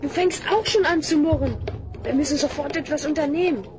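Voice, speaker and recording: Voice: sits high, fairly quick, close to an everyday voice. An actor voicing a resolute talking dog who leads with earnest, urgent commands in a fantasy radio play.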